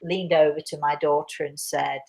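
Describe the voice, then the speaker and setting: A middle-aged woman, a host with a croaky voice, chatting casually and rambling with many restarts as she answers viewer questions. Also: low voice, flat delivery, normal speed, a little mellow. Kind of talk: casual live chat.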